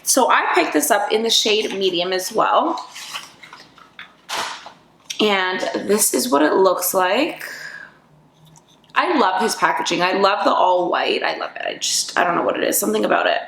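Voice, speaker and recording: A chatty woman talks through a makeup review with bubbly energy, her voice a bit raspy from just waking up. Her pitch sits in an ordinary middle range. The room she records in gives her voice a noticeable echo. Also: animated, moderate pace, long pauses, fairly bright tone, a little hiss or hum. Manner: bubbly and chatty, full of fillers. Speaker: beauty vlogger. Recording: echoey room.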